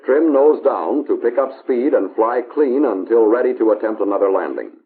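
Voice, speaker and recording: An American man narrating a 1950s instructional film in a measured, authoritative, instructional manner, on an old film soundtrack. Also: mid-range voice, lively intonation. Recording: tinny band-limited 1950s film soundtrack, hiss.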